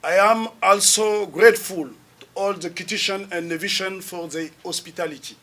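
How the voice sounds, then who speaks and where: A man from the Republic of the Congo, French his first language, giving a public lecture with a French-speaking Central African accent, slow and emphatic, building to loud declarations. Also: sits high, steady tone. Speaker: guest lecturer.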